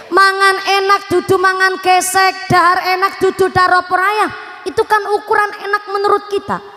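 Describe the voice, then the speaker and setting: A woman preacher with a Banyumas Javanese accent, giving a joking, lively sermon and teasing the crowd. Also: medium pitch, long reverb tail. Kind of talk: lively comic Islamic sermon.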